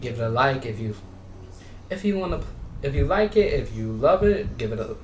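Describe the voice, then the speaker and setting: Halting male game streamer in a roomy space. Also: medium pitch, lively intonation, slight background noise. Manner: halting, repetitive, often restarting sentences. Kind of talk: casual gameplay commentary. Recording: roomy echo with music behind.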